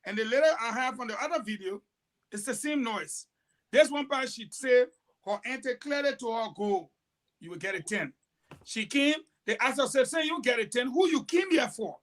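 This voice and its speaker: A man's voice: very high voice, moderate pace. A man, telling stories emphatically in a heated panel discussion. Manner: animated storytelling, emphatic broken sentences.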